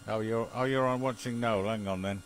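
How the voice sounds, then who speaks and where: A man's voice: lowish voice, steady tone, fairly quick. A British man in a rambling, chuckly casual chat, often muttering to himself as he operates an amateur television link.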